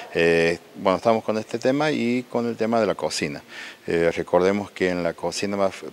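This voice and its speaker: A man in his forties with an Argentine accent, interviewed indoors on a handheld mic, explaining plans with many hesitant fillers. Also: sits low. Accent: Argentine Spanish. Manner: halting, many "eh" fillers and restarts. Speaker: municipal official interviewed. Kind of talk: local TV interview. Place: indoor event hall. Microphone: handheld reporter mic.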